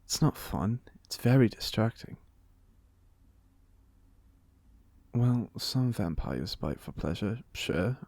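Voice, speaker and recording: A man's voice: on the low side, animated, a little slow, darkish tone, no echo. A man playing a genial old vampire in an audio roleplay, speaking with a British accent in polite, formal, wryly chatty phrases. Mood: wry, mildly annoyed. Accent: British, put on by an American. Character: genial, chatty, courteous centuries-old male vampire being interviewed. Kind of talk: ASMR audio roleplay monologue.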